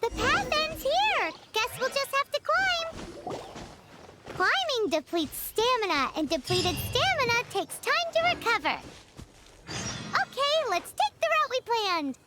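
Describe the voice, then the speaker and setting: A woman voicing a tiny, cheerful, childlike fairy guide in a video game, chirpy and squeaky. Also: big pitch swings, takes it slowly, very noisy, exaggerated voice. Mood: cheerful, helpful. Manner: chirpy, refers to herself in third person. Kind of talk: video game character dialogue.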